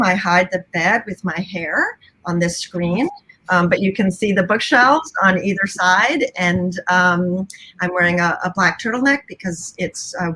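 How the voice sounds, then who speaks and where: A woman from the Bahamas moderating a video-call panel, relaxed, with many fillers. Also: medium pitch, poor audio. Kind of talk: casual panel introductions. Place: small bedroom.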